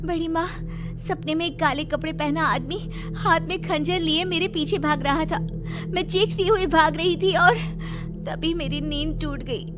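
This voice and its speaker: A woman's voice: extremely high pitch, a little slow, pauses often. A woman playing a frightened, innocent young girl in a Hindi horror cartoon, with a plaintive, fearful delivery. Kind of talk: Hindi cartoon melodrama acting. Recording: loud background music.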